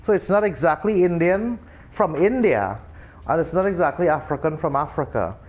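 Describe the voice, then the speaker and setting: A man's voice: a little high, lively intonation, moderate pace, highs cut off. A man from Trinidad with a Trinidadian accent, chatting casually as a streamer and explaining things in a rambling way, full of restarts. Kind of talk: casual chat while gaming.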